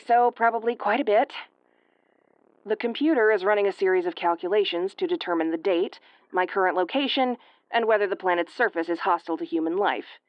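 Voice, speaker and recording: A woman's voice: mid-range voice, dry recording, muffled recording, close to an everyday voice. A woman playing an injured, sarcastic starship first officer in an audio roleplay, with a wry, exasperated tomboyish delivery. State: woozy and battered. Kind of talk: audio roleplay acting, recorded log monologue.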